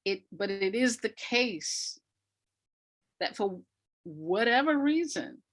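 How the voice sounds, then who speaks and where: A woman's voice: mid-range voice, very animated, slow pace. A woman speaking conversationally as an interview guest, an educator who repeats phrases for emphasis.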